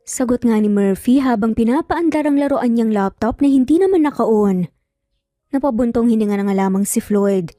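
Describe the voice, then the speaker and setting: A Filipino-accented woman tells a story as scripted, dramatic narration. Her voice is soft-toned and on the high side, recorded dry with faint music underneath. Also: moderate pace, dry recording.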